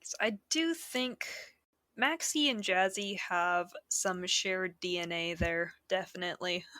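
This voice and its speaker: A woman with a bright, crisp voice co-hosting a relaxed podcast. She talks in a wry, teasing way. The room sound is dry. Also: on the low side, a little slow, no room sound. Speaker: podcast co-host. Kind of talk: casual podcast chat.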